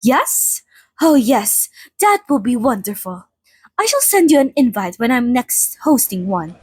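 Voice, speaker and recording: A woman playing a polite, chatty old vampire being interviewed in an ASMR roleplay, speaking in a slightly formal, gently wry way. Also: on the high side, very animated, measured pace, breathy edge, no room sound, full character voice. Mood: friendly, courteous. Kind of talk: ASMR roleplay, in-character monologue to listener.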